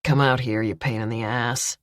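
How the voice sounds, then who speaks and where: A woman voicing a belligerent criminal man in an audiobook, speaking a little lower than her own voice. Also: fairly even intonation, moderate pace, dry recording, studio quality. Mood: sneering. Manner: sneering, belligerent. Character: menacing grown man, a nasty criminal.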